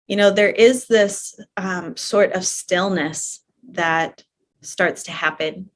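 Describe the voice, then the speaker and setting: A woman teaching a guided relaxation class in a gentle, permissive, conversational way, often repeating her phrases softly. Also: on the low side, slow pace. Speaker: yoga nidra teacher guiding a live class.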